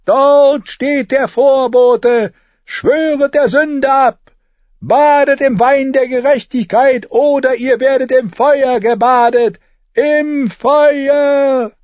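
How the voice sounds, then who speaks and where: A man voicing a fanatical begging-friar street prophet in an audiobook, shrill and strained, preaching with declamatory fervour. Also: steady tone, slow pace, a little mellow, no echo, lo-fi sound. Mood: apocalyptic urging. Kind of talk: dramatised audiobook character.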